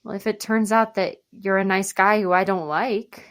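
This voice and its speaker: A woman's voice: mid-range voice, steady tone, normal speed, close to an everyday voice. An actress playing a nervous, self-mocking young woman who feels queasy, in a radio play. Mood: anxious. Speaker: actor.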